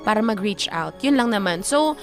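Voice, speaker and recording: A woman's voice: mid-range voice, lively intonation, moderate pace. A woman with a Filipino accent co-hosting a casual discussion, speaking in an emphatic, opinionated way. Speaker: co-host of a story and advice show. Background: background music.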